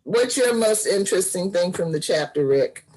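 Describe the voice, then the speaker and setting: A man co-hosting a book discussion, speaking haltingly with many fillers and short laughs between words. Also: high voice, animated, darkish tone, poor audio.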